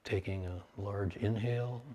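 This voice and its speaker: A man leading a guided meditation, speaking calmly and in measured short phrases with long pauses between them. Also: lowish voice, lo-fi sound.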